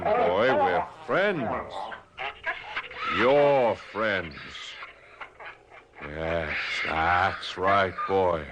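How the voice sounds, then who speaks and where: An actor playing a steady, commanding man who cautions his friend, in measured, soothing old-time radio drama style. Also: medium pitch. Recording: noisy vintage radio drama recording.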